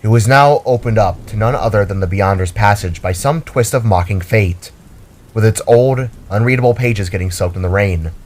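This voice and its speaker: A man's voice: on the low side, normal speed. A man reading a scripted fantasy story aloud as a dramatic narrator.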